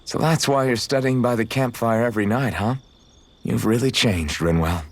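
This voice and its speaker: A man playing an earnest young swordsman in a video game, gentle in manner and awkward when flustered. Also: mid-range voice, moderate pace, close to an everyday voice. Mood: friendly, teasing. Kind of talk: video game cutscene acting.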